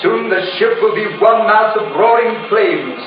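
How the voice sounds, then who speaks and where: An actor playing a ghostly sea captain, grave and dutiful, in a solemn, theatrical old radio horror style. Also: male voice, high voice, animated, normal speed. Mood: grave warning. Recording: dull, cavernous echo, distant, music behind.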